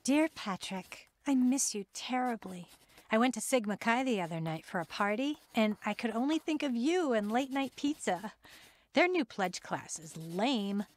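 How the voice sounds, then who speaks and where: A woman's voice: on the high side, animated, moderate pace, close to an everyday voice. An actress playing a chatty, lovestruck college girlfriend reading a letter in a radio drama. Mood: fond, chatty.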